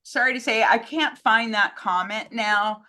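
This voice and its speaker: Female co-host, bright and crisp-edged voice, informal unscripted chat. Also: on the high side, measured pace. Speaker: co-host, communications director fielding questions. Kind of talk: casual chat.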